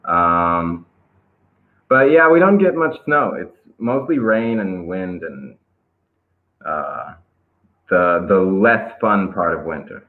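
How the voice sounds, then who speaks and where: A man's voice: low-pitched, expressive, takes it slowly, long pauses. Laid-back man on a tinny call line. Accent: American-influenced English, Israeli. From Israel. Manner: laid-back, hesitant with many fillers and restarts. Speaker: guest skateboarder. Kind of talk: casual interview chat.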